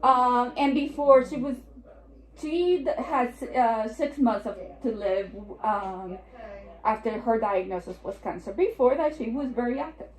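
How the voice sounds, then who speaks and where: A woman in her forties with a Puerto Rican accent gives a seminar talk as an estate planning attorney, chatty and given to joking asides. Her voice sits a little high and carries the echo of a roomy meeting room. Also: unhurried. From New York. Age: 40s. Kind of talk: seminar presentation.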